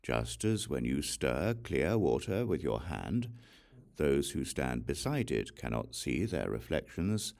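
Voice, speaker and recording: Male audiobook reader, scripture narration, very low pitch, lively melodic intonation, solemn, measured delivery. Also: gravelly.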